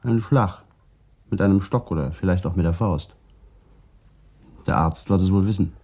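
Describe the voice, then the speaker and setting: A reflective middle-aged policeman in a radio crime play. His voice is mellow and husky with some creak, and he talks in a measured, guarded manner. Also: sits low. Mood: calm, precise. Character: calm, reflective Papuan-Dutch traffic policeman being questioned. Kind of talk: German radio crime drama acting.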